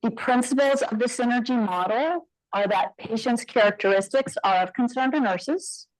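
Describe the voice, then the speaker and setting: A woman's voice: fairly high pitch, moderate pace, no room sound, poor audio. A woman nurse informaticist giving a conference presentation into a mic, conversational with frequent fillers and asides.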